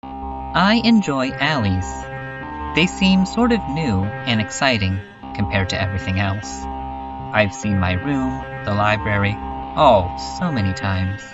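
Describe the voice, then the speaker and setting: A man's voice: lowish voice, lively intonation, a little slow, lo-fi sound, over music. A man voicing a lonely, weary cartoon girl in a reflective, wry, melancholic first-person monologue, as in a fan dub. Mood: anxious, bleak.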